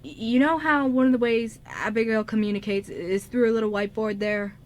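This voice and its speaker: A woman's voice: a little high, a little fast, close to an everyday voice. A woman playing a shy young male musician in an amateur radio drama, speaking hesitantly with many stammers. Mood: nervous, confessing. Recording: light background noise.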